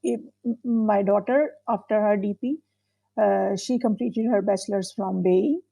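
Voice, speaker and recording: A woman's voice: mid-range voice, moderate pace, vocal fry, mellow, no room sound, low-quality recording. A middle-aged woman hosting an interview whose first language is not English. She speaks in a thoughtful, hesitant way with many fillers. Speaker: podcast host. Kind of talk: conversational interview.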